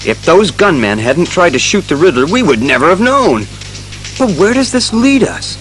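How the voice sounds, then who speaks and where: A man's voice: sits high, sing-song, normal speed, fairly bright tone, soft background music. A man playing an earnest, puzzled police commissioner, questioning and official, in a superhero audio drama.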